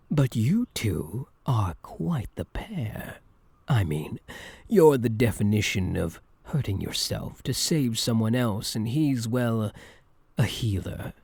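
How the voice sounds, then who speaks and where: A low, gravelly male yandere villain, acted by a man for an ASMR roleplay. He speaks slowly and softly, close and dry, teasing his captive with false gentleness and swinging freely in pitch. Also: no echo, exaggerated voice. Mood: casually menacing. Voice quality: breathy, gravelly, dropping at times into whispers. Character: obsessive yandere supervillain, soft-spoken, taunting, infatuated with captive hero. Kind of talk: intimate ASMR roleplay monologue.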